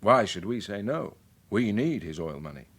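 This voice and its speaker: An actor playing a worldly, middle-aged British police chief in a radio drama, measured and wry as he explains things. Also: on the low side, big pitch swings, a bit bright, full character voice. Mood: measured, emphatic. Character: worldly, wry senior police chief briefing his sergeant.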